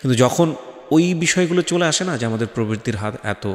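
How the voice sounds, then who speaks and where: A man's voice: mid-range voice, expressive, a little fast. A man with a Bangladeshi accent hosting an interview, speaking in an earnest, explanatory way as he comments and questions. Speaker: talk show host. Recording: echoey, reverberant room.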